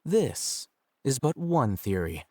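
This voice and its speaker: A man voicing a calm, analytical young scholar in a video game, speaking in a measured, precise and detached manner. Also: mid-range voice, very noisy. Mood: composed, explanatory. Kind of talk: video game character dialogue.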